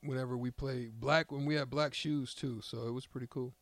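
A man's voice: medium pitch, fairly even intonation, brisk, no echo. A man in his late twenties, a former player, co-hosting a sports radio show in a laid-back, joking way. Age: 20s.

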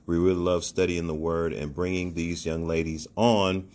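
A man's voice: low-pitched, flat delivery, normal speed, a little mellow. A man hosting a casual podcast interview, upbeat, laughing often and repeating words as he reacts. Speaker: podcast host.

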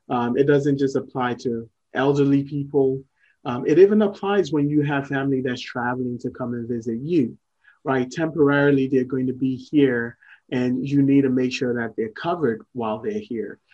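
A middle-aged man from Ghana talks through his answers as an interview guest. His voice sits at an ordinary pitch, and he speaks in a measured way, often stopping with "um" to collect his thoughts. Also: dark tone, dull audio. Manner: measured, many "um" pauses. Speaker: guest, entrepreneur. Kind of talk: interview conversation.

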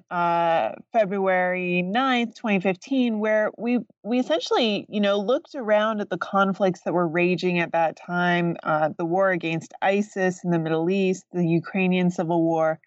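A woman professor answering interview questions in a thoughtful, measured way, pausing often with fillers. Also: on the low side, no echo. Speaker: guest author, professor. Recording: distant dull lo-fi remote audio.

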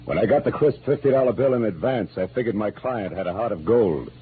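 A man's voice: on the low side, animated, brisk, slight background noise, lightly acted. An American man playing a wry, world-weary private detective in a classic radio noir drama, with clipped delivery. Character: wry, hardboiled male private detective narrating his case. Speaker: lead actor. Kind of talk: 1940s-50s American radio noir acting. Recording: muffled old radio recording with music.

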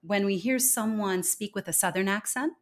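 A woman's voice: medium pitch, normal speed. An American woman with a mid-range voice talks as a podcast guest. She explains at length in an articulate, emphatic manner, building her points steadily. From United States. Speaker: podcast guest, accent expert. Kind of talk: conversational interview.

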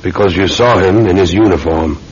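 Actor as low-voiced, earnest police sergeant. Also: male voice, lightly acted. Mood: earnest, probing. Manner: polite, deliberate, insistent questioning. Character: earnest, methodical, deferential Scotland Yard police sergeant. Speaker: actor in a radio mystery serial. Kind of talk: classic radio drama acting. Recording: muffled old radio recording with faint hiss.

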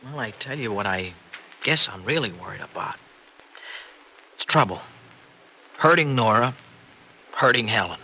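Mixed-up farmer in old radio show, very bright tense voice, stumbles as he confides, faint noise behind. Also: medium pitch, lively intonation, full character voice, poor audio. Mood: worried, confessing. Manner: halting, earnest confiding. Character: troubled restless married farmer with a wayward past. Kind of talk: old-time radio drama acting.

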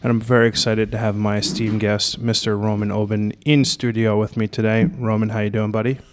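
A man's voice: lowish voice, little pitch movement, brisk, noisy background. An American man hosting a casual interview podcast, asking long, informal, loosely worded questions. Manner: wordy, informal questions padded with "like" and "kinda". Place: studio.

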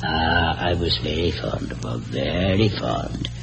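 Crafty old Irish country doctor, swooping voice. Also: male voice, very deep voice, animated, slow, vocal fry, full character voice. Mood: wistful. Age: older. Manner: wheedling, theatrical, hesitating for effect. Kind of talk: classic radio drama acting. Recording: old radio broadcast with music.

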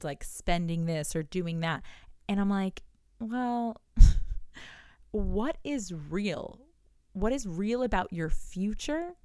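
Business coach, woman in her thirties, animated direct-to-listener delivery; smooth lower voice, dry booth sound. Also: dry recording. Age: 30s. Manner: animated, talks to listener directly with rhetorical questions. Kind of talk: solo podcast monologue.

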